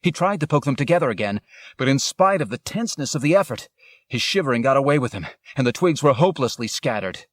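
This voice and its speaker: A man reading a literary story as an audiobook narrator, with measured, dramatic, deliberate phrasing. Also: mid-range voice, lively intonation, no room sound, clean studio sound.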